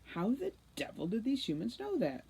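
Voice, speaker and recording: A woman reading a children's novel aloud as an expressive narrator. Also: fairly high pitch, normal speed, gravelly, slightly rough. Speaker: read-aloud storybook narrator, teacher.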